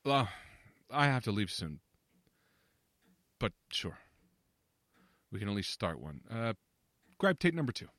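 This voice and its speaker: A man acting in an audio drama as a probing, slightly impatient confidant with a measured, questioning, dry manner. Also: fairly low pitch, sing-song, fairly bright tone, no room sound.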